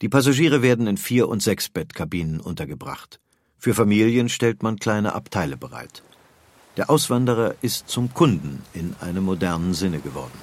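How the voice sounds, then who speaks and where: A man's voice: lowish voice, animated, moderate pace, a little mellow, no room sound. A man reading scripted narration for an audio history documentary.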